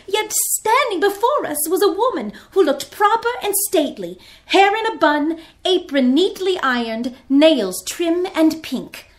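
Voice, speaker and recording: A woman's voice: very high voice, big pitch swings, unhurried, hardly any pauses, exaggerated voice. A woman playing an earnest sixteen-year-old girl who tells her diary as a vivid, theatrical radio drama narration. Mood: fascinated.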